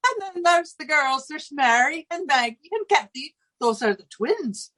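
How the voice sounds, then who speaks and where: A woman playing a chatty, demanding but soft-hearted Irish landlady in 1940s radio comedy style, folksy and easily sidetracked. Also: high voice, lively intonation, a little slow, full character voice. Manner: garrulous, folksy.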